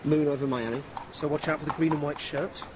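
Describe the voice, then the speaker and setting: A man presenting a television show, calmly narrating and explaining things in a measured way. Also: a little high, background noise. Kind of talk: scripted narration and on-location talk. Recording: music playing under the voice.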